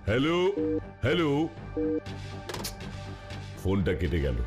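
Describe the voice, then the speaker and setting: A man's voice: lowish voice, wildly swooping pitch, a little slow, over music. A man voicing a strong, stern, protective superhero detective in a cartoon, with a brooding, ponderous way of talking. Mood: puzzled. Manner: ponderous, brooding, scolds firmly. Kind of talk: Bengali cartoon voice acting.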